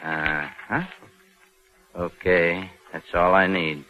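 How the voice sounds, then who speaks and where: A man's voice: low-pitched, steady tone, slow pace, bright, forceful tone, exaggerated voice. An American actor playing a cold, scheming embezzler in his thirties, telling his crime in brooding, slangy 1940s radio noir style. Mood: smug, curt. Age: 30s. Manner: hard-boiled, slangy, brooding. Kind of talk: 1940s radio noir acting, first-person narration. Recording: muffled old radio broadcast, music behind.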